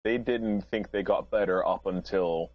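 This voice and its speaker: A man with a deep voice joins a casual podcast discussion. His audio is somewhat muffled and lo-fi. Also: measured pace. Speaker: podcast panelist.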